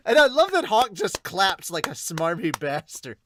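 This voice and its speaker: Excitable, sweary man reacting. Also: high voice, measured pace, slight background noise. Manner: profane, exclamatory. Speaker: podcast host. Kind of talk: excited casual reaction while reading manga aloud.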